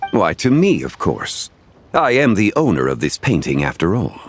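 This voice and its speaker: A man voicing a composed, scholarly gentleman in a video game, speaking in formal, measured, eloquent phrases. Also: deep voice, wildly swooping pitch, slight background noise. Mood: gracious, assured. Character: composed, courtly, scholarly gentleman connoisseur of antiques. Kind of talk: scripted video game dialogue.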